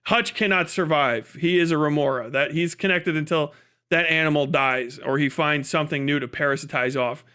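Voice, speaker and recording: A man's voice: fairly high pitch, moderate pace, pushed and bright, clear, resonant tone. A man giving casual livestream commentary, swearing often and stressing his points with emphatic repetition. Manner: profane, emphatic, opinionated, repeats words for stress. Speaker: livestream host.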